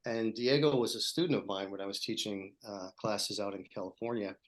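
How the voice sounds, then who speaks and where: Former teacher guy on a podcast, kinda low voice, excited and emphatic. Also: brisk. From Pittsburgh, Pennsylvania. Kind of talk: casual podcast conversation.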